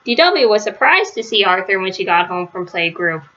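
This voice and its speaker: A woman's voice: mid-range voice, sing-song, moderate pace, pushed and bright, highs cut off. A woman reading a children's story aloud as narrator. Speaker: storybook reader.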